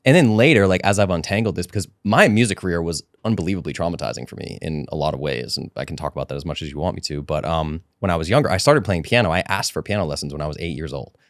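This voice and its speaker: A man from Rhode Island, a podcast guest, telling stories about his music life in animated, casual chat. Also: deep voice, no room sound, clean studio sound. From Rhode Island, USA. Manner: animated, fast storytelling.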